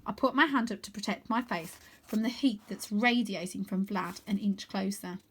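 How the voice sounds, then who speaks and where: A woman reading a children's story aloud in an expressive narrating style. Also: medium pitch, moderate pace, breathy edge. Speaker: storybook reader.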